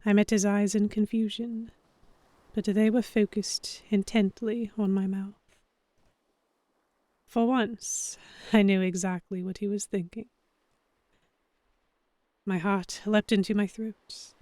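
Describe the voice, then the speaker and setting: Woman as expressive young heroine, close dry sound. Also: medium pitch, takes it slowly, long pauses, dry recording, natural voice. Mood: breathless longing. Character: young heroine narrating a pirate romance, flustered. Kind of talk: first-person dramatic audiobook narration.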